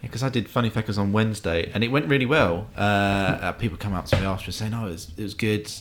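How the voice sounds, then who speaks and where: A man's voice: lowish voice, fast, noisy background. A man, a stand-up comedian, chatting casually with short anecdotes. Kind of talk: casual chat.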